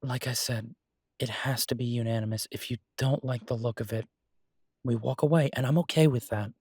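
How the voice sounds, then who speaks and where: A man playing a devoted, easygoing chef and reassuring partner in an intimate audio roleplay, speaking softly and haltingly with a husky voice. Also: medium pitch, fairly even intonation, dry recording, clean studio sound. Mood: earnest, reassuring.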